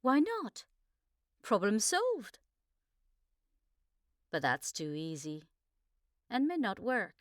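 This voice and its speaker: A woman reading scripted verse and podcast announcements in a measured, deliberate way. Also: medium pitch, wildly swooping pitch, no echo, studio quality. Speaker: podcast presenter and poetry reader. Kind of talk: poetry recital and scripted announcements.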